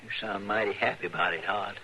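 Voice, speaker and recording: An actor playing an indignant, plain-spoken frontier deputy with a rural Western American accent, folksy and protesting, in an old radio western. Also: male voice, fairly low pitch, fairly even intonation, fast talker, bright, forceful tone. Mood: bitter, urgent. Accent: American rural West. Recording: noisy old radio recording.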